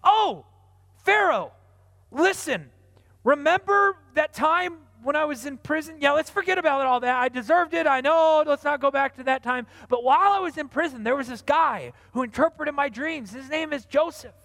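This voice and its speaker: A man's voice: extremely high pitch, expressive, moderate pace, fairly bright tone, pitched above the performer's own voice, exaggerated voice. A man voicing a sheepish, grovelling royal cupbearer pleading with his king. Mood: wheedling. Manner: wheedling, pleading.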